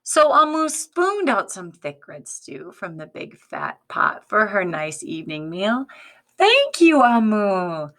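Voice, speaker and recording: A woman reading a picture book aloud like a teacher, expressive and instructive, addressing young children with questions. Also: medium pitch, unhurried, few pauses.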